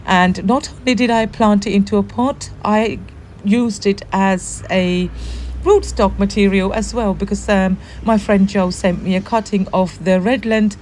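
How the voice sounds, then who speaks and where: A woman with a hoarse, worn voice from the flu, chatting casually and warmly as host of a gardening vlog, with frequent ums. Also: mid-range voice, slight background noise. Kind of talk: casual show-and-tell vlog.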